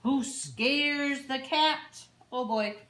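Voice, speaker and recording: A woman reading picture books aloud to young children in an animated, deliberate story-time style with simple, child-directed phrasing. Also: sits high. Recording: roomy echo, faint background noise.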